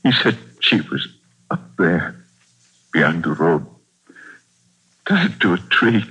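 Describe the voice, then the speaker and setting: An actor playing a distraught father in shock, speaking in halting, repeated fragments in 1940s radio drama style. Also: low-pitched, big pitch swings, bright, forceful tone, breathy edge, full character voice. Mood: dazed grief. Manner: halting, broken phrases, repeating himself. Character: grief-shattered father in shock, haltingly recounting. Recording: dull old radio drama recording.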